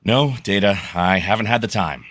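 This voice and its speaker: A man's voice: on the low side, moderate pace, fairly bright tone, dry recording, clean studio sound. A man playing a confident, practical starship engineer in a radio play, talking casually. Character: confident, easygoing male starship chief engineer. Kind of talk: radio play live read.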